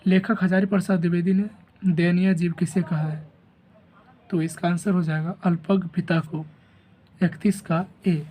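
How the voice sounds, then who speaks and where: A man with a sore, rough throat reads through an answer key at a steady, repetitive pace. His voice is dark and mellow, with a faint hiss of noise behind it. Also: high voice, measured pace. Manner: steady, repetitive, reads each question then gives the answer and option. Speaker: teacher going over an exam answer key. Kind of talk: reading out quiz answers.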